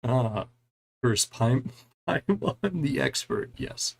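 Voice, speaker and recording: A man's voice: mid-range voice, sing-song, slow pace, gravelly. A man giving casual gameplay commentary, reading game dialogue aloud and adding wry, chuckling reactions in a laid-back way. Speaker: gaming streamer doing a playthrough.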